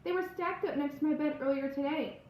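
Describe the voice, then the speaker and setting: A woman's voice: sits high, a little fast, a little mellow, voice pushed up, lightly acted. A woman voicing an anxious, exasperated young girl in a read-aloud storybook, earnest and urgent. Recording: roomy echo, faint background noise.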